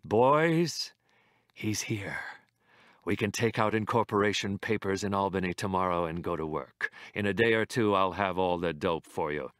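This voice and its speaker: Man playing little storybook conspirator, confidential, scheming, lowish voice, dry recording. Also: moderate pace, fairly bright tone, no echo. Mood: conspiratorial. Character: little conspiratorial man reporting to his pals.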